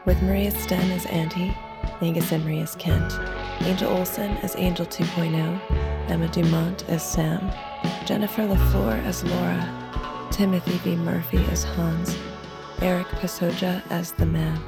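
Creaky, even-toned female credits announcer. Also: fairly low pitch, normal speed. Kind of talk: scripted credits read. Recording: loud guitar music behind.

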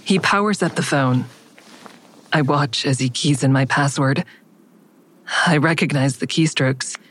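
An anxious woman telling her own story in an audio drama. Her voice is low for a woman. She sounds restrained, guarded and introspective, in both narration and dialogue.

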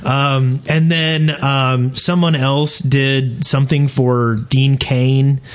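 A man's voice: medium pitch, little pitch movement, takes it slowly. A man, a podcast host probably in his thirties, chats casually in a rambling, self-deprecating way about games and his own life. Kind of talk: casual chat about gaming and personal life.

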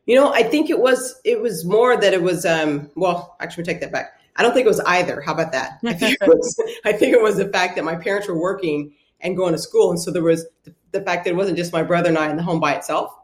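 Woman from Ghana, interview guest, thoughtful, pausing, echoey room sound. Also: on the low side. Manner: thoughtful, many ums and restarts. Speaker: guest, sports psychologist. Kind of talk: conversational interview answers.

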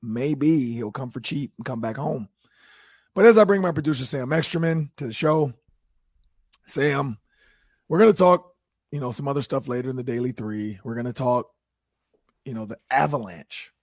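Hoarse, husky male sports talk host. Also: medium pitch, moderate pace, long pauses, no room sound, studio quality. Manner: animated, energetic opinions.

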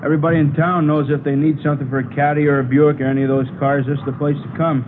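Actor as chatty salvage yard owner, noisy old radio. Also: male voice, on the high side, flat delivery, rapid-fire, natural voice. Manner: folksy, obliging, talkative. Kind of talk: 1950s radio drama acting. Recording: noisy, phone-like vintage radio broadcast.

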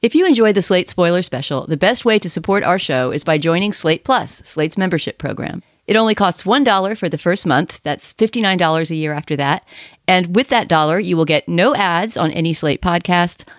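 A woman film critic hosting a podcast, speaking in a measured, wry conversational way. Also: lowish voice, lively intonation, no echo, studio quality.

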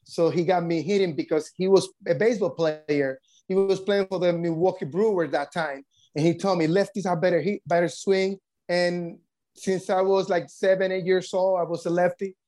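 A man from the Dominican Republic with a Dominican Spanish accent, speaking earnest, halting English as a guest coach. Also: high voice, steady tone, warm tone.

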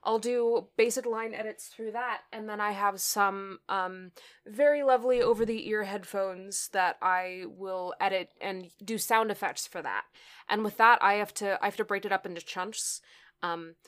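A woman's voice: mid-range voice, moderate pace. A woman with partial hearing loss, chatting casually as a guest about audio editing, laughing often between fillers. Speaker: audio drama editor and guest. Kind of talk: casual conversation.

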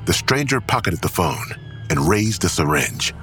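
An actor narrating a dark suspense thriller in a scripted audio drama. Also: male voice, sits low, very animated, normal speed, faint music behind. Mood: suspenseful.

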